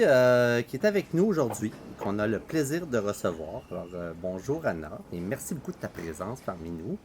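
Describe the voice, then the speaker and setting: A middle-aged man with a Québécois accent, hosting a casual podcast conversation with a relaxed, hesitant manner and many fillers. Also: medium pitch. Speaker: podcast host. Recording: faint background noise.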